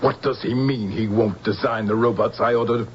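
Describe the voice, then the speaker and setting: An actor playing a stern, authoritarian general with a curt manner in a radio drama. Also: male voice, mid-range voice, fairly even intonation, moderate pace, muffled recording, close to an everyday voice. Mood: stern, indignant. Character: stern, overbearing male general. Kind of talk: 1970s radio drama acting. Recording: slightly noisy, band-limited old radio.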